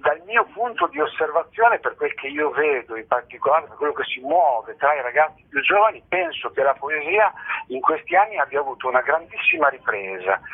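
Guy on the phone to a radio show, very husky voice, thoughtful, repeats and rewords as he explains. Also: medium pitch. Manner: earnest, reflective, rephrases and repeats phrases. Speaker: interview guest, poetry festival organiser. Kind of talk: radio interview, spontaneous explanation. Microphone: phone call. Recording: band-limited telephone line on radio.

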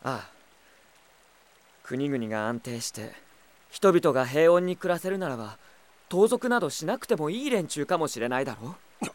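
A gentle young prince in an audio drama. His voice sits a little high and moves freely in pitch. He sounds idealistic, earnest and quietly determined. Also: very animated, moderate pace, pauses often. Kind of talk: earnest anime-style audio drama acting.

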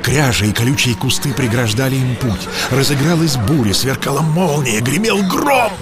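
A man narrating a fairy tale from a script in a dramatic, expressive way. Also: medium pitch, normal speed, over loud music.

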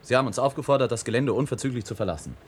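A man's voice: medium pitch, very fast, slight background noise, lightly acted. An actor playing a stern expedition officer, sure of his superiority, in a German science-fiction radio play, speaking emphatically. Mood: exasperated.

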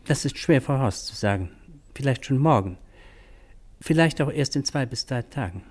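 Male actor as chatty doctor, medium pitch, wry, slightly gossipy, worldly, radio crime drama. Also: animated, moderate pace, a little mellow, natural voice. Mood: informative.

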